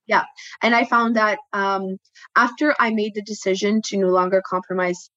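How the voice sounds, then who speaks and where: A woman whose first language is French, a guest speaking reflectively with frequent pauses and restarts. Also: medium pitch, steady tone, a bit bright, no echo, lo-fi sound. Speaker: podcast guest, tattoo studio co-owner. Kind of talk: personal interview talk.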